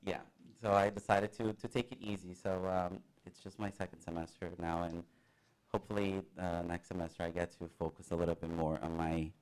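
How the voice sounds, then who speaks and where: A young man from Brazil with a Brazilian Portuguese accent, a student guest chatting casually in an interview, halting often with fillers and small laughs. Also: sits low, flat delivery, a little mellow.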